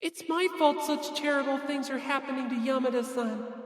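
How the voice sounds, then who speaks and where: A woman voicing a timid, gentle teenage boy for an audiobook, polite, hesitant and small-voiced. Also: sits high, normal speed, no echo, voice pushed up, natural voice. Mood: meek, worried. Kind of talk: anime-style audiobook character acting.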